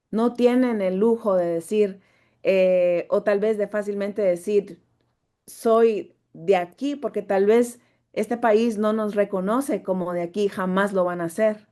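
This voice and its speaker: A woman's voice: mid-range voice, fairly even intonation, slow, warm tone. A woman who teaches voice, speaking thoughtfully on a video call, searching for her words and restarting often.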